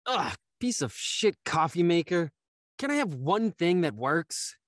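Hungover young man, acted by a man, curt, sarcastic, irritable, lively pitch swings, dry close sound, audio drama. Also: unhurried, no room sound. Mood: exasperated. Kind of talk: naturalistic audio drama read-through. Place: home, on a video call.

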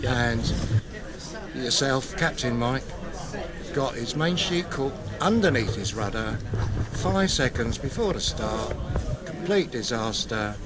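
A man's voice: mid-range voice, animated, slow pace. A man in his sixties hosting a sailing club vlog, chatting casually and interviewing racers into a handheld mic, rambling and jokey. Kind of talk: casual chat. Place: outdoors under a tent at a busy sailing club. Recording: outdoor recording with loud crowd. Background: loud crowd chatter.

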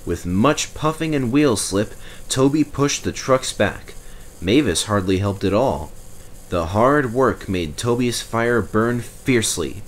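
A man reading a children's story aloud in expressive, scripted narration. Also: lowish voice, slow pace, a little hiss or hum. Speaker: storybook reader.

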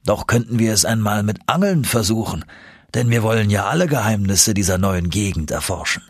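A man voicing a confident, eager older professor for an audiobook, husky and emphatic, as if lecturing. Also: fairly low pitch, expressive, a little fast, no room sound, clean studio sound.

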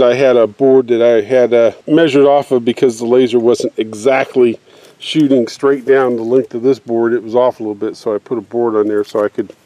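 A man's voice: mid-range voice, mellow, no echo. A middle-aged man casually explaining his backyard greenhouse build outdoors, rambling and plain-spoken with lots of fillers, recorded on a handheld camera. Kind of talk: casual how-to vlog narration.

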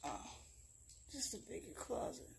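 A woman's voice: normal speed, noisy background. Woman, casual explorer vlog, handheld phone mic, empty old house, swears a lot. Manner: chatty, swears freely, thinks aloud. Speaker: urban explorer vlogger. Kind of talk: casual walkthrough narration. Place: abandoned wooden house, indoors and on porch.

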